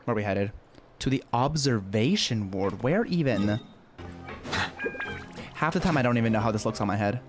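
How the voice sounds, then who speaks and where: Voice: medium pitch, lively intonation, moderate pace, long pauses. A game streamer giving casual commentary during a playthrough. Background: game music.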